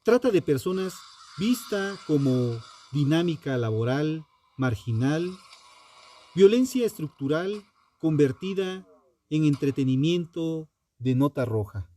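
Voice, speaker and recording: A man with a mellow, relaxed tone narrates true crime from a script. His delivery is slow and solemn, built on short, dramatic phrases with pauses for emphasis. The pitch sits a little high for a man and moves expressively. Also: a little hiss or hum.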